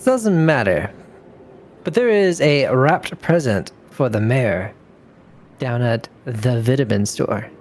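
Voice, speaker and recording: A man's voice: mid-range voice, lively intonation, slow, a little mellow, slight background noise. A man playing a ragged, demanding woman who poses as an abducted damsel in a tabletop game. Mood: curt, commanding. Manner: demanding, urgent, polite phrasing.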